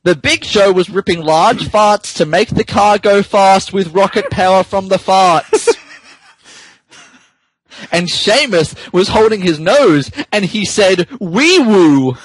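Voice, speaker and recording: A man's voice: high voice, lively intonation, takes it slowly, no echo, rough recording. A man who co-hosts a podcast, giving a giggly, theatrical comedic reading of fan fiction and stumbling over words. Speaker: podcast co-host.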